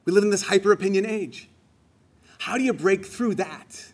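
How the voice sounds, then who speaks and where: A man's voice: sits high, normal speed. A man giving a homily in a conversational, emphatic way, rising on key phrases. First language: English. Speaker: preacher.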